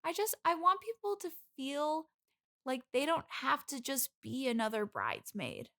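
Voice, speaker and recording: High, creaky, needy bridesmaid, acted by a woman. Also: sing-song, normal speed, bright, forceful tone, full character voice. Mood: earnest, wistful. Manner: rambling, earnest. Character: needy, lonely, chirpy expert bridesmaid desperate for friends. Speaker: podcast guest. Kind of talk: improvised comedy podcast interview.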